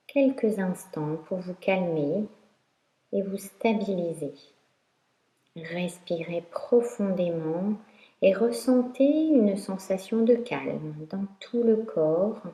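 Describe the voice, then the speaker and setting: Woman, yoga nidra teacher, mellow timbre, unhurried, calm guided meditation, long pauses, roomy sound. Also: fairly low pitch. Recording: roomy echo.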